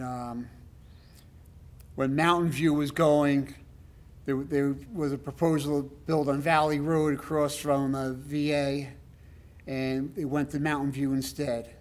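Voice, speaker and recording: A man with a loud voice giving a rambling, emphatic public comment at a town meeting, with many fillers. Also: medium pitch. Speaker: resident speaking at public comment.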